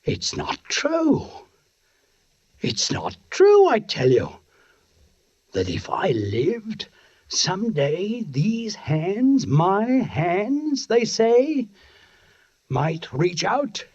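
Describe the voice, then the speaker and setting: An actor playing a tormented, menacing condemned strangler in theatrical, brooding 1940s radio thriller style. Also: male voice, high voice, sing-song, extremely slow, long pauses, a little mellow, full character voice. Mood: anguished threat. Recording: dull 1940s radio broadcast.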